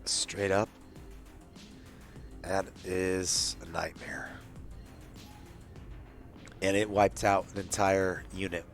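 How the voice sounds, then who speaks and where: A man's voice: low voice, little pitch movement, very slow, long pauses. A man playing a tabletop roleplaying game, speaking in character as part of a squad. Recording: music playing underneath.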